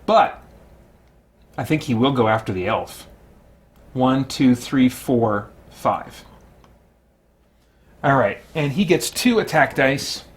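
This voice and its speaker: A man's voice: mid-range voice, expressive, slow pace, long pauses. Man casually narrating his solo tabletop game. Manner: mild exclamations, chatty self-talk. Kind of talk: casual play-by-play commentary, thinking aloud.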